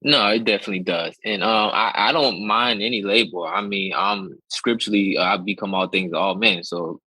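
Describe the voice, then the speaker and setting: Male guest, from Connecticut, relaxed, husky low voice, dry sound. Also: brisk, no echo, poor audio. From Connecticut, USA. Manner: relaxed, easygoing, speaks plainly about his faith. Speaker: rapper guest. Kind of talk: casual interview chat.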